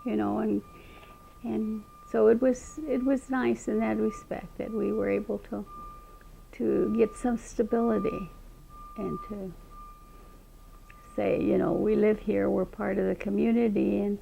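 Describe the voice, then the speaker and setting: A woman's voice: medium pitch, flat delivery, creaky, mellow, poor audio. An older woman, a state representative, unhurriedly recalling her childhood in an oral history interview. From Montana, raised in Eastern Washington. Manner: reflective, unhurried storytelling with frequent restarts.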